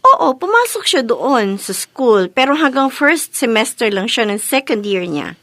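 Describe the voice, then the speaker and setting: A woman's voice: a little high, big pitch swings, measured pace, pushed and bright, no echo, heavily acted. A woman acting a formal, efficient bank manager in an office drama, with a Filipino accent. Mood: concerned, serious. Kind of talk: acted office drama dialogue in Tagalog and English.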